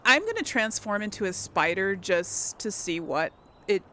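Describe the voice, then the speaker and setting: A woman's voice: medium pitch, very animated, moderate pace, bright, forceful tone, a little hiss or hum. A woman giving casual video game commentary, thinking aloud and exclaiming as she plays. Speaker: video game let's play host.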